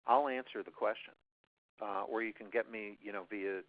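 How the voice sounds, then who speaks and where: A man's voice: on the low side, fairly even intonation, normal speed. A man giving marketing advice in an unscripted webinar answer, rambling with many restarts and fillers. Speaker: guest presenter, marketing expert. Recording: tinny phone-line audio.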